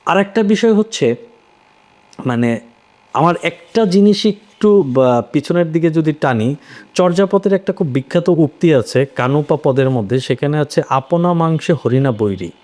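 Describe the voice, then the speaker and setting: Male panel guest, slightly high voice, explanatory, question-and-answer way of making points. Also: lively intonation, a little slow, darkish tone. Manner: explanatory, poses questions then answers them. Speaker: voice actor on a discussion panel. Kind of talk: conversational literary discussion.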